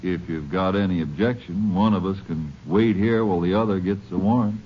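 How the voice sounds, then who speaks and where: Man playing a laconic, low-voiced lawman partner. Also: brisk, close to an everyday voice. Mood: matter-of-fact. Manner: laconic, matter-of-fact. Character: plain-spoken, steady male lawman partner. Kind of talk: 1950s radio drama acting. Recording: muffled, noisy old 1950s radio recording.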